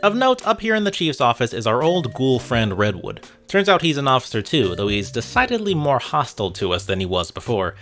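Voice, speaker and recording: A man's voice: mid-range voice, big pitch swings, brisk, hardly any pauses, a bit bright. A man narrating a scripted video essay about games in a wry, conversational way, with asides to the viewer. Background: music.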